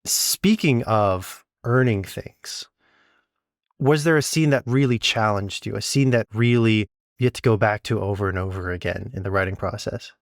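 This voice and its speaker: A man's voice: lowish voice, normal speed, no room sound, studio quality. A man working as an interviewer, speaking in a casual, thoughtful and conversational way.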